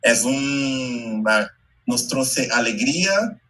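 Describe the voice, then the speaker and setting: A Brazilian man whose first language is Portuguese, speaking slowly and haltingly in Spanish mixed with Portuguese, giving a talk over a video call. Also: medium pitch, expressive, sharp tone, low-quality recording. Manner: slow, careful, halting Portuguese-Spanish mix with many pauses. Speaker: invited speaker, educator.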